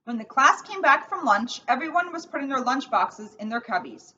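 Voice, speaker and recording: A woman's voice: sits high, lively intonation, normal speed, sharp tone. A woman voicing a sensitive young male zebra in a storybook, earnest and plaintive, on a distant mic. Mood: recounting, hurt. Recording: distant mic, dull sound, faint noise.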